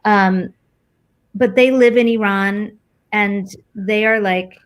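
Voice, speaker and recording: A woman's voice: medium pitch, fairly even intonation, takes it slowly. A woman from the United States with an American accent, talking casually and reflectively as an interview guest, informal and thinking aloud as she goes.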